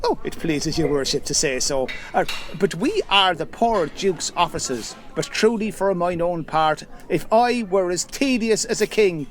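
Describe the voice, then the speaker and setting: An actor playing a garrulous, self-important, muddle-worded constable in a Shakespeare radio play, rambling and pompous. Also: male voice, sits high, animated, unhurried, few pauses. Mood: pompous chattering. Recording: faint noise, home recording.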